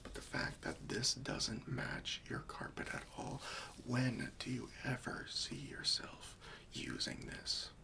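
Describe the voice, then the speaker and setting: Man acting as gentle whispering helper with creaky voice. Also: medium pitch, slow, full character voice. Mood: patiently questioning. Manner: soft, coaxing, patient, questioning, reassuring. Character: gentle, patient, friendly male helper for hoarders. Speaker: ASMR roleplayer. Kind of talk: whispered ASMR roleplay.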